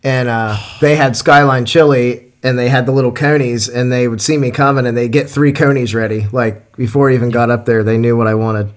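A man's voice: medium pitch, fairly even intonation, brisk, few pauses. Easygoing Ohio man, rambling chat. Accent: Ohio, Midwestern American. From Ohio, USA. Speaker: podcast co-host. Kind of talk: casual podcast chat.

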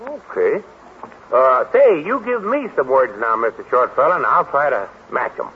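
A man's voice: mid-range voice, big pitch swings, normal speed, slightly rough. A man hosting a children's comedy show in a folksy, stammering, jokey way, in vintage radio style. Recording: dull old broadcast with faint hiss.

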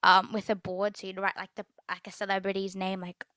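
A woman's voice: mid-range voice, little pitch movement, fairly quick, pushed and bright, no room sound, studio quality. A teenage girl hosting a podcast in casual chat, who stutters now and then and fills her talk with ums and giggles. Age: teens. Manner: stutters, many fillers and giggles. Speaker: podcast host.

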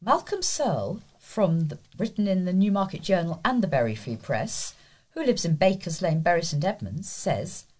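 A woman's voice: lowish voice, husky. A woman reading newspaper letters aloud as a volunteer news reader.

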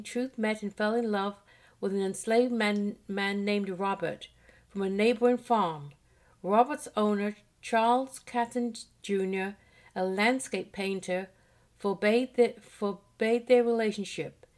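A woman hosting a weekly history lesson, reading a biography aloud at a measured pace. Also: mid-range voice, a little mellow.

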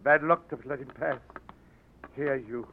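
An actor playing Jippo, a slow-witted and guilty Irish man with an Irish accent, halting and stammering, in a 1940s radio drama. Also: medium pitch, lightly acted. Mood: evasive. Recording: dull old radio broadcast with faint hiss.

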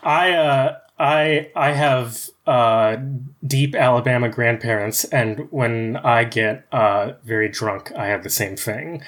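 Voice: medium pitch, takes it slowly, fairly bright tone. A tabletop game player narrating their character in a hesitant way, with many fillers.

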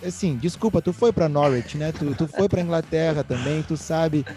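A man's voice: a little high, moderate pace, mellow, soft background music. A man from southern Brazil hosting a podcast, chatting casually about football with playful, joking banter. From Rio Grande do Sul, Brazil. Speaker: podcast host.

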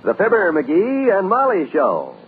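A man announcing a vintage radio show and reading a savings-bond appeal in an emphatic, drawn-out way. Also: sits high, wildly swooping pitch. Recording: tinny old radio broadcast with music.

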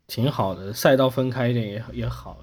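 A man's voice: mid-range voice, fairly quick, darkish tone. A man speaking as a podcast host in casual conversation. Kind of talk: casual chat.

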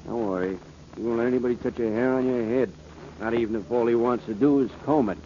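A man's voice: mid-range voice, fast talker, dark tone, lightly acted. An American actor playing a brusque, bossy policeman in 1940s radio drama style, curt, slangy and commanding. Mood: reassuring, gruff. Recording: muffled, hissy old radio recording.

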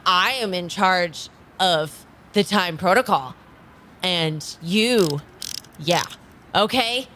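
A woman playing a determined but flustered time agent, speaking haltingly and earnestly in an improvised audio drama. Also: on the low side, a bit bright, a little hiss or hum.

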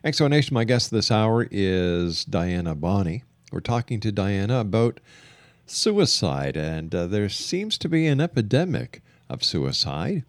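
A man hosting a radio interview, phrasing things in a deliberate, measured way. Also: fairly low pitch, very animated, no echo.

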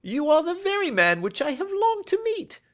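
Nervous small-time male villain for classic storybook. Shrill, thin, quavering, agitated and cringing. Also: wildly swooping pitch, normal speed, a bit bright, full character voice. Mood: eager, agitated. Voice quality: high, thin, quavering, cracking. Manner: agitated, deferential.

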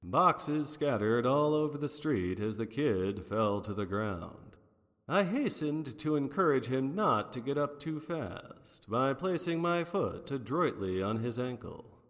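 A man's voice: mid-range voice, lively intonation, unhurried, a bit bright. A man playing a wry, unflappable private detective in a 1940s noir radio drama, with a theatrical, wordy manner. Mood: storytelling. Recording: long reverberant hall sound.

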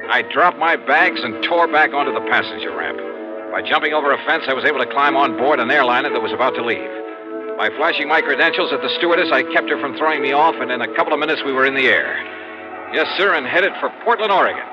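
Actor as a wry 1950s American radio investigator, music behind. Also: male voice, mid-range voice, natural voice. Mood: wry, harried. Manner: brisk, wry first-person storytelling. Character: wisecracking, harried male insurance investigator narrating his case. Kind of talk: 1950s radio detective drama acting and narration. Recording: dull vintage radio recording, music.

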